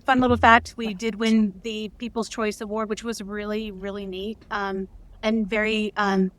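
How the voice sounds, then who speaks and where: A woman's voice: mid-range voice, level tone, normal speed, rough recording. Earnest woman guest in an interview. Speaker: interview guest, audio drama creator. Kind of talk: casual interview chat.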